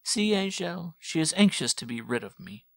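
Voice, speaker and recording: Guy doing a joking young soldier boy, bit raised voice, gravelly, dry. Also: high-pitched, lively intonation, normal speed, no echo, voice pushed up. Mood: bantering. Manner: light, joking, deflecting. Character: young French soldier son, evasive and bantering.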